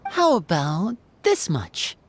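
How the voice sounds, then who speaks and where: An actress playing a polite, serious female monster hunter in a video game, formal and measured. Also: mid-range voice, wildly swooping pitch, a little hiss or hum. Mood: composed, polite. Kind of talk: video game character acting.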